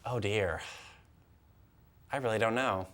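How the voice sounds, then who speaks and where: A man's voice: on the low side, takes it slowly, a bit bright. A young man co-hosting a casual film and TV chat, stammering and repeating words as he feels his way through sentences. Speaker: co-host of a film and TV talk show.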